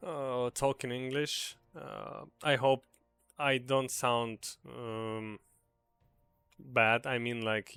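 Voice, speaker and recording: A man's voice: mid-range voice, a bit bright, clean tone. A young man with a Ukrainian accent giving a casual, earnest gaming commentary, hesitant with many fillers and restarts. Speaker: gaming video creator.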